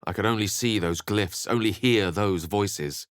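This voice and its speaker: An actor playing a learned, dramatic wizard adventurer in a video game, speaking in an articulate, theatrical storytelling manner. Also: male voice, on the low side, a little slow, fairly bright tone, clear, resonant tone, no echo, clean studio sound. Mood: grave narration. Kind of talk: scripted video game character lines and narration.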